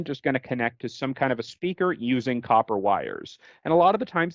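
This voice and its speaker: Man, American accent, medium pitch, informal explainer, colloquial phrasing, close dry voice-over, slightly muffled sound. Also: a little mellow, dry recording. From United States. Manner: conversational, colloquial, explains with everyday examples. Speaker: technical trainer presenting a slide course. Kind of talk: informal explanatory slide lecture.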